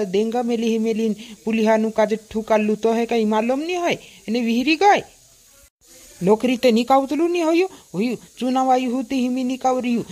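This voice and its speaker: A woman's voice: on the high side, dark tone, close to an everyday voice. A woman playing a defiant village voter in a cartoon comedy, scolding and insistent.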